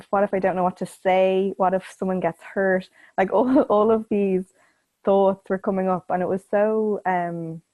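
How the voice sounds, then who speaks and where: A woman with a cold, croaky-voiced, frankly sharing her experience as a first-time facilitator on a call. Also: medium pitch, dry recording, phone-quality sound. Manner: frank, self-reflective.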